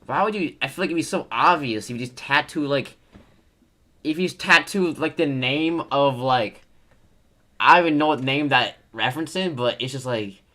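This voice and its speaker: A man's voice: medium pitch, normal speed, pushed and bright. Rambling young male streamer reacting. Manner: rambling, full of "like", trailing off. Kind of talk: casual reaction commentary.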